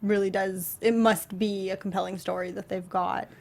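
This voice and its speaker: A woman co-hosting a talk show, chatting casually about a video game in a tentative, halting way. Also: medium pitch, level tone, creaky, a little mellow.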